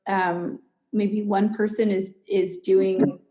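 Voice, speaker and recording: A woman's voice: medium pitch, little pitch movement, takes it slowly, warm tone. A woman in casual discussion as a podcast guest, speaking hesitantly as she searches for her words. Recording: echoey, roomy pickup.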